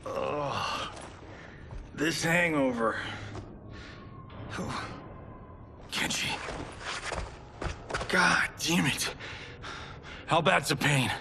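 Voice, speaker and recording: Brash, high-voiced game hero. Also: male voice, very slow, vocal fry, pauses often, background noise, full character voice. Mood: groggy, concerned. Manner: casual, exclamatory. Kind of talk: dramatic video game acting. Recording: dramatic music under the voice.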